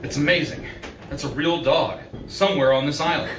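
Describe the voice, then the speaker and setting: A man's voice: fairly high pitch, fairly even intonation, brisk, natural voice. Echoey noisy recording, man as level-headed doctor, reassuring, old radio play acting. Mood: matter-of-fact. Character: calm, reasonable male doctor reassuring a patient. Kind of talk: 1940s radio drama acting. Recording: roomy, distant mic, background noise.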